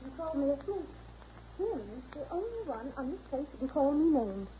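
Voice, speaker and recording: An actress playing a sharp-tongued, bossy young woman with a needling, demanding manner in 1930s radio drama. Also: sits high, sing-song, fairly quick, lo-fi sound. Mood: snappish. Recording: noisy, thin 1930s radio recording.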